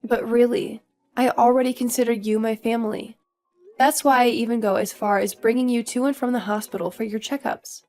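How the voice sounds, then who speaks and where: A woman's voice: fairly high pitch, steady tone, a little fast, darkish tone, faint music behind, lightly acted. A woman playing an earnest young daughter-in-law who is polite but firm, in a scripted audio story. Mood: earnest, pleading. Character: earnest, indignant young daughter-in-law standing her ground.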